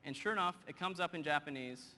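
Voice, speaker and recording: Male presenter, 30s, bright, punchy stage voice, lively demo delivery, big hall with long reverb. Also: on the high side. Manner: energetic, quick and joking with the audience. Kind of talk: live software demo on stage. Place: large conference hall stage. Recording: hall reverb, faint noise.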